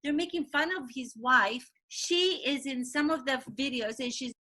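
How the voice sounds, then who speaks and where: A woman hosts an interview in a chatty, gossipy way and tells stories with lively energy, slipping into other people's voices as she goes. Her voice is high and bright. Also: normal speed. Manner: animated storyteller, acts out quotes. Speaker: interview host.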